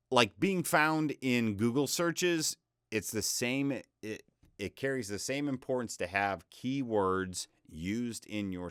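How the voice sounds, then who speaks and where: A young man with a deep voice giving a casual, rambling screen-share tutorial on freelance selling, often interrupting himself with upbeat advice. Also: a bit bright, smooth, no room sound, clean studio sound. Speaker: voice-over freelancer teaching online selling.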